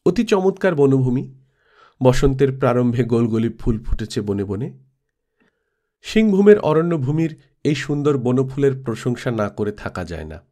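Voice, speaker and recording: A man narrates in the manner of an audiobook storyteller. His voice sits at an average pitch for a man, and he reads composed literary prose from a script. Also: expressive, normal speed, warm tone. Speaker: storyteller reading a classic audiobook.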